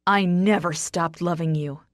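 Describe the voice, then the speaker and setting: A woman voicing a brooding, possessive, hot-tempered man with a rasp, as a character in a romance audiobook. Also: lowish voice, steady tone, a little slow, dry recording, clean studio sound, natural voice. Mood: anguished roar. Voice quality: rasping.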